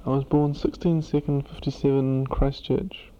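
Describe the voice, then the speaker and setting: A woman's voice: rumbling low, little pitch movement, normal speed, mellow, highs cut off. A woman giving a brief, plain statement as an interviewee.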